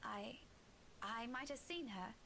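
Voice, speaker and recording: A woman voicing an anxious, earnest young woman in a horror visual novel who apologizes yet stays determined, with a soft, pleading delivery. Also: a little high, slow, slight background noise. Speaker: game character.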